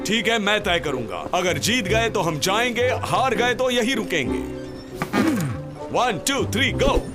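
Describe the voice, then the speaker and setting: A blustering cartoon pirate captain, pitched high for a male voice. He is bossy and scolding, barking out orders over loud music. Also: animated, measured pace. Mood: decisive. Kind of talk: animated cartoon dub.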